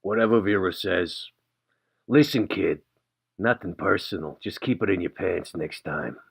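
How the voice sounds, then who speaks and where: A man's voice: sits low, unhurried, vocal fry, breathy edge, full character voice. A man playing a wisecracking tough-guy male vampire with a blunt, dry, curt manner. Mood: dry warning.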